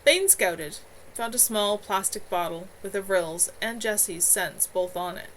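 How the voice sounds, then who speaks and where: A woman reading her own novel aloud in an expressive amateur storytelling style. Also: medium pitch, unhurried. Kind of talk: live amateur audiobook-style narration. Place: at home. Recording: clearly noisy home livestream recording.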